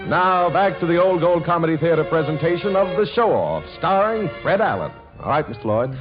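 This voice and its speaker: A man announcing on 1940s radio, folksy and emphatic as he delivers a comic sponsor pitch. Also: lively intonation, a little fast, music in the background. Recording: muffled, slightly noisy 1940s radio recording.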